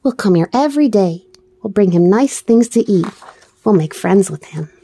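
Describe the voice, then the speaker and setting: A woman voicing a kind, determined little girl doll in a storybook, gentle and matter-of-fact. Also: medium pitch, lively intonation, moderate pace, slightly rough, no echo. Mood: earnest, caring. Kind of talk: storybook character voice.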